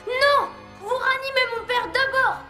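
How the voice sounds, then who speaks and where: A woman voicing a plucky, defiant young boy hero in a cartoon, excitable and earnest. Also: extremely high pitch, measured pace. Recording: roomy echo, faint music underneath.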